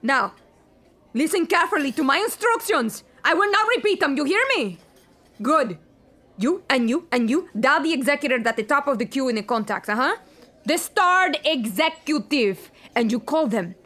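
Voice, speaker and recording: An actress playing a volatile, theatrical TV producer in an audio drama, with an emphatic, profane, menacing way of talking. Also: female voice, sits high, expressive, normal speed, a bit bright. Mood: menacing, frantic. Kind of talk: audio fiction acting.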